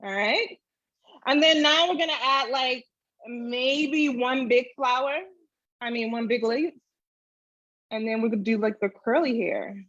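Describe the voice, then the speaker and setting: A woman's voice: a little high, a little slow, pauses often, a bit bright, no echo, dull audio. A woman giving a casual, chatty step-by-step drawing tutorial, thinking aloud as she goes. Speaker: illustrator demonstrating drawing.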